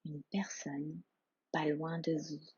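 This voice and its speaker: A woman guides a meditation in a low, very breathy voice, hushed and soothing. She speaks very slowly, leaving room between phrases. The recording is slightly muffled. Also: no echo. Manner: hushed, calm, soothing, with long pauses. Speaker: yoga nidra instructor. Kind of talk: guided meditation, soft slow instruction.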